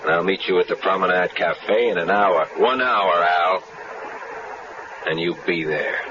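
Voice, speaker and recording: A man's voice: low-pitched, a little slow. An actor playing a sly, threatening tough-guy blackmailer in a 1970s radio drama, on a muffled broadcast with hiss. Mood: menacing. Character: menacing adult male tough-guy blackmailer.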